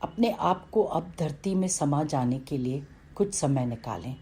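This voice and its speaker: A woman reading a scripted guided meditation in a calm, instructional way, with pauses between phrases. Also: sits low, slight background noise.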